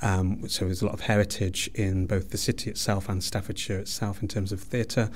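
A man's voice: low-pitched, little pitch movement, a little fast, slight background noise. A man speaking as an academic guest in an interview, giving explanatory answers. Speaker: university head of department, interview guest.

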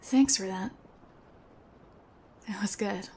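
A woman playing a shy, sweet young female thief in an ASMR roleplay, hesitant and soft-spoken, often near a whisper. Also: medium pitch, takes it slowly, husky. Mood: wistful, hesitant.

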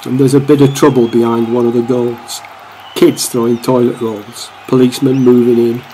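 A man's voice: mid-range voice, flat delivery, moderate pace, dark tone, a little hiss or hum, lightly acted, low-quality recording. A man playing a wry, devoted football supporter who narrates a match in a radio play, in commentary style.

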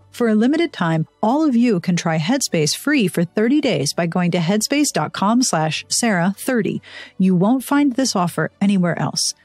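A woman's voice: on the low side, darkish tone, dry recording. A woman hosting a podcast, speaking in a conversational, playful way. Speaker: podcast host. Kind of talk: ad reads and casual interview chat.

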